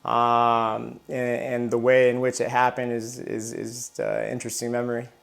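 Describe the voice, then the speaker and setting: Halting, flat-voiced retired Marine. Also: male voice, mid-range voice, creaky. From Southern California. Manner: halting, many restarts and repeated words. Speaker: interview guest, retired Marine. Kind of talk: casual interview.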